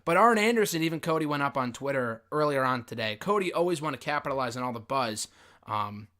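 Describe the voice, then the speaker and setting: Male host, recovering from a cold, hoarse and raspy, casual opinionated wrestling news talk, mid-pitched. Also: lively intonation, fast talker, sharp tone. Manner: chatty, opinionated, frequent um fillers. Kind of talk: casual news commentary to camera.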